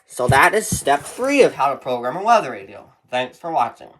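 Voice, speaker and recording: A man's voice: medium pitch, big pitch swings, normal speed, a bit bright, low-quality recording. A man giving informal step-by-step instructions in a plain, repetitive explaining manner. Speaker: tutorial presenter. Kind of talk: informal step-by-step how-to narration.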